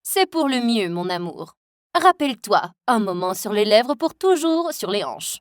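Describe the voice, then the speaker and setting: A woman performs a glamorous, overbearing stage mother in a dubbed animated story. Her high voice leaps wildly up and down as she fusses and insists, sugary one moment and sharp the next. The sound is dry and close. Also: unhurried, no room sound. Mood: coaxing.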